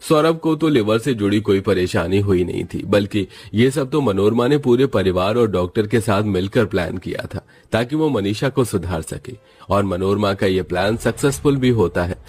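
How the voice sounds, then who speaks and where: A man's voice: lowish voice, animated, normal speed, darkish tone. A man narrating a scripted moral story as its storyteller.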